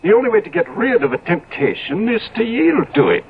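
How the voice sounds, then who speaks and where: A man's voice: sits high, very animated, a little fast, a crowd in the background. A man playing a decadent, cynical Victorian lord in a theatrical, grandly persuasive way, in 1970s radio drama style. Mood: fervent, persuasive. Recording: tinny, phone-like old radio recording.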